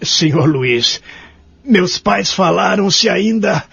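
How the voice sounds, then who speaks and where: A man playing an anxious, lonely old nursing-home resident with a Brazilian accent in an audio drama, his voice husky and creaky. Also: high-pitched, animated, slow, a bit bright, exaggerated voice. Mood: uneasy. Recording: dull lo-fi audio with music behind.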